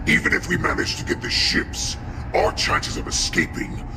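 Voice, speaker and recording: An actor playing an ancient, savage beast lord in a video game, growling slowly with menace and contempt. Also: male voice, background noise, close to an everyday voice. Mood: contemptuous. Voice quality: deep gravelly growl.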